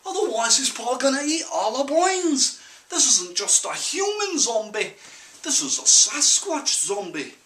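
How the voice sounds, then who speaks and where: A man playing an excitable young farm lad telling a panicked tale in a put-on mix of Australian, Irish and English accents, with theatrical, drawn-out emphasis. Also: high-pitched, pitched above the performer's own voice, heavily acted. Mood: panicked, pleading. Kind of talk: theatrical comic audition monologue. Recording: roomy camcorder sound, distant from mic.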